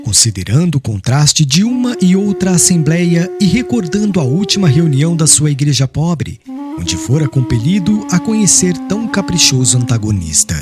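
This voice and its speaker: Higher-pitched, expressive male audiobook narrator. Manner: solemn, formal, measured phrasing. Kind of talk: dramatic scripted literary narration. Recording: clear music bed under voice.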